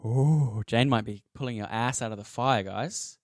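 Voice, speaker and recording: A man's voice: medium pitch, moderate pace, background noise. A man acting as game master, mixing scene narration and rules calls with casual table talk. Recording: music playing behind. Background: background music.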